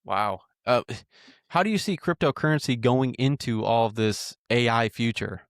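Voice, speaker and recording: This is a man in his twenties with an average-pitched voice and a very dry recording. He hosts a casual interview. His questions come out informally and a little haltingly, as if he is assembling them while he speaks. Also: no echo. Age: 20s. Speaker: podcast host, interviewer.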